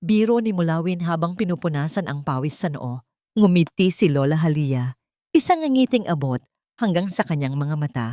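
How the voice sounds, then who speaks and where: A woman narrating a dramatic audio story in a steady storytelling read. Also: sits low, moderate pace, dry recording, studio quality.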